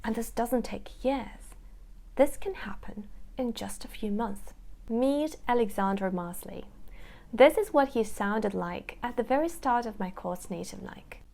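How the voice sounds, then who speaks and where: A woman's voice: fairly high pitch, animated, a little slow, creaky, a little mellow. Earnest woman teacher. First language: Russian. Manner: earnest, reflective, addresses viewer directly. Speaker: English teacher, course founder. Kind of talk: scripted promotional talk to camera.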